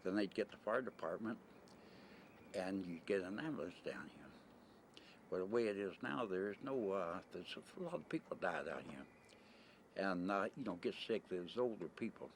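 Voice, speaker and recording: Low-voiced older American man, rambling. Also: lively intonation, normal speed. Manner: rambling, blunt, plain-spoken, mildly profane. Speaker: interviewee, retired merchant seaman. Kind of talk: casual spoken interview. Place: on location at a desert camp, ambient noise. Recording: noisy 1990 amateur videotape sound.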